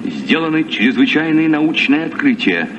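An actor playing a gallant, earnest sea captain in a radio play, speaking in measured declarations. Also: male voice, fairly high pitch, sing-song. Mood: reading solemnly. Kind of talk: Soviet radio play acting. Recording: muffled, distant, noisy old radio recording.

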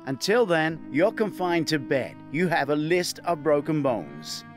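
A man's voice: a little high, very animated, measured pace, soft background music, pitched above the performer's own voice. A man voicing a good-natured, plain-spoken male bodyguard in an audiobook. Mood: reassuring.

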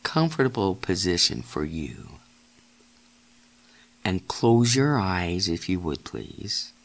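A man leading a guided meditation in deliberate, halting phrases, giving gentle step-by-step instructions as a narrator of guided imagery. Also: deep voice, sing-song, gravelly.

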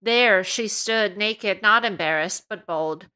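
A woman reading amateur fiction aloud to a comedy panel in a deliberate way. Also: medium pitch, pushed and bright, smooth. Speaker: podcast reader.

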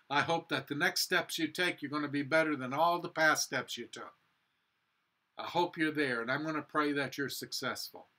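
Male preacher, 60s. Sermon and prayer, deliberate short phrases, unhurried pace, firm bright tone. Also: a little high. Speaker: pastor. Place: indoor room with a cross, small chapel or office.

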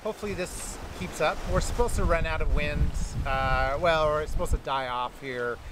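A young man hosting a sailing vlog, chatting casually with lots of fillers from his boat at sea. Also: a little high. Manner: relaxed, halting, many fillers. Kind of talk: casual chat to camera. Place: on a sailboat at sea, outdoors. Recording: wind and engine noise at sea.

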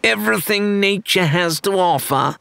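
A man's voice: high voice, moderate pace, vocal fry, sharp tone, clear, resonant tone, dry recording, voice pushed up, heavily acted. A man voicing an argumentative, sardonic pirate crewman in an audiobook, with a mocking, eager manner. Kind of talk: audiobook character dialogue.

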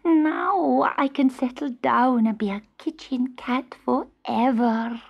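A woman's voice: high voice, slow, gravelly, slightly rough, dull audio, pitched above the performer's own voice, full character voice. A woman voicing a sweet, innocent little boy kitten in a children's storybook, plaintive and childlike. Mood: delighted. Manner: plaintive, wide-eyed, childlike.

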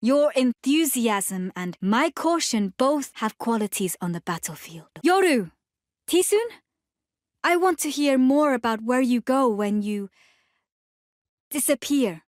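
A woman voicing a serene, compassionate young healer in a video game, with measured, formal phrasing and a gently firm manner. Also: fairly high pitch, animated, no room sound, clean studio sound. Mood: gentle caution, then eager. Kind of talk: scripted video game voice lines.

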